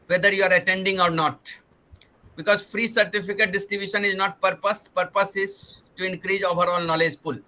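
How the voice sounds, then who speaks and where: A man hosts an online lecture series over a video call. His voice sits high and bright, with a crisp, forceful edge. He talks earnestly, restating his points in slightly different words. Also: rough recording. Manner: earnest, repeats and rephrases himself. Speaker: webinar host. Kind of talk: formal online introduction.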